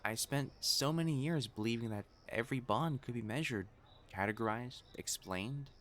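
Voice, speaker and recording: A man's voice: medium pitch, moderate pace, a bit bright, slight background noise, close to an everyday voice. A man playing a prim young matchmaker fiancé in a romantic audio roleplay, formal and earnest in speech, easily flustered. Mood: tender, confessional. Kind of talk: intimate scripted romance roleplay acting.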